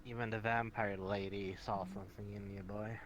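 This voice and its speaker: A man's voice: on the low side, little pitch movement, normal speed, a little hiss or hum. A man chatting in character in an improvised virtual roleplay over online voice chat. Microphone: VR voice chat microphone.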